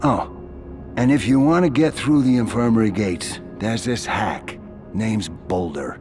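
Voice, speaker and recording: An actor playing a matter-of-fact, streetwise prison fixer in a video game, casual and laconic. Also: male voice, lowish voice, a little slow, music in the background, close to an everyday voice. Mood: businesslike.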